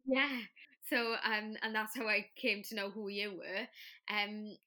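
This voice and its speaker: A woman's voice: medium pitch, little pitch movement, slow pace, pushed and bright. A young woman with a Welsh accent, a trainee children's doctor, chatting as a guest and telling stories with plenty of fillers. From Wales. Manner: friendly, chatty storyteller with many fillers. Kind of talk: casual interview chat.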